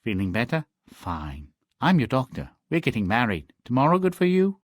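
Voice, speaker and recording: A man's voice: mid-range voice, huge pitch swings, normal speed, no echo, clean studio sound. A man playing a cocky young godson turned physician in a fairy-tale video game, glib and eager. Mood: breezy.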